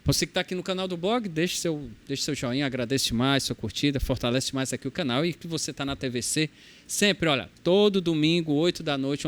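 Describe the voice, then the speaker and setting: A man with a Brazilian accent from Ceará hosting a podcast, enthusiastic and affirming as he asks questions and signs off. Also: medium pitch. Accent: Brazilian Portuguese, Ceará. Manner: enthusiastic, affirming, animated sign-off. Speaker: podcast host. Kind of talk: podcast interview.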